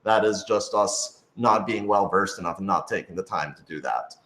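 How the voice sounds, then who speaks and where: Guy w/ lowish voice answering questions off the cuff, self-deprecating, echoey room. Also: moderate pace, fairly bright tone. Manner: informal, hedging, self-deprecating. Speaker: radio drama writer and director answering questions. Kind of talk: casual unscripted Q&A chat. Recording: roomy, echoing room sound.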